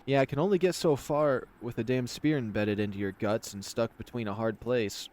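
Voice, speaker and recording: A man's voice: medium pitch, moderate pace, darkish tone, natural voice. Man voicing a crude little cougar scout. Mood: grumbling. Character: small male cougar scout, crude, greedy, wary.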